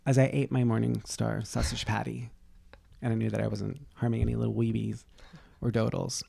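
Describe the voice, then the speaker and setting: Podcast co-host, gravelly and relaxed voice, casual sarcastic comedic riffing. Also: medium pitch, fairly quick, no room sound.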